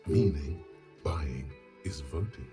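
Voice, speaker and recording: A man voicing a detective's brooding inner thoughts in a video game, gravelly and dry, with music behind him. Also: very deep voice, animated, slow, exaggerated voice. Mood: dry, observing. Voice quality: gravelly, raspy. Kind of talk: dramatic game narration.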